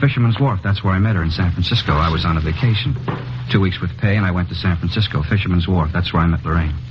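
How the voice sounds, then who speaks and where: A man's voice: sits low, background noise, close to an everyday voice. An actor playing a nervous, evasive young man who has come to confess a murder in a 1950s radio drama. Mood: uneasy. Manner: halting, insistent, repeats himself. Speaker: murder suspect. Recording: dull old radio broadcast recording.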